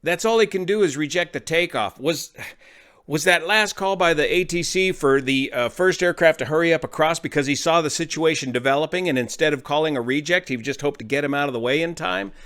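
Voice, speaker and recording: A man's voice: a little high, a bit bright. A man hosting an aviation show, explaining incidents step by step in an emphatic, explanatory way. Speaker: aviation commentator and host.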